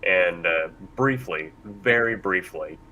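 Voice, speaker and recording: Guy hosting a panel, real low but bright voice, rambles a bit, faint noise behind. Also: lively intonation, takes it slowly, rough recording. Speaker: panel host. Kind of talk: casual panel discussion.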